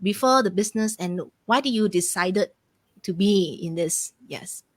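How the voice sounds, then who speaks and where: A young adult woman hosting a casual live-stream interview, talking in a halting way with filler phrases. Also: mid-range voice, no echo. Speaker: interview host, online business mentor.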